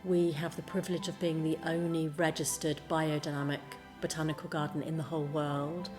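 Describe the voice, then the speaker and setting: A woman's voice: low voice, level tone, a little fast. A woman representing a college, giving a composed promotional talk. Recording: clear music behind the voice. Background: background music.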